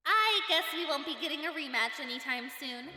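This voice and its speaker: A woman's voice: high-pitched, expressive, a little fast. A woman voicing a young cartoon heroine's chatty, wry inner thoughts, wary and sarcastic. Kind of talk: character voice-over commentary on cartoon clips. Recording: echoey hall reverb, music behind.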